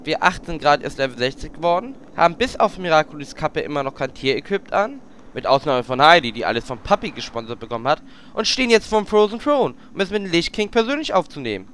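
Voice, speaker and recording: A man's voice: on the high side, big pitch swings, fast talker, a bit bright, a little hiss or hum. A man playing a cocky, flirtatious and sarcastic adventurer in a fantasy parody audio drama.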